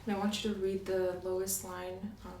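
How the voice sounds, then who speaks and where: Soft-spoken woman examiner in a roomy space. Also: mid-range voice, flat delivery, a little fast. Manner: gentle, step-by-step clinical explaining. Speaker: ASMR host playing a medical examiner. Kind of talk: soft-spoken ASMR medical roleplay. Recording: roomy echo, distant mic, faint noise.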